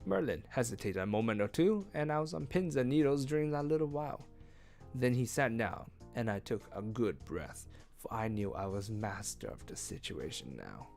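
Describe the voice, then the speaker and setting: A man reading a story aloud as host of a read-along, with expressive narration and casual asides. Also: medium pitch, moderate pace, gravelly. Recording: music behind.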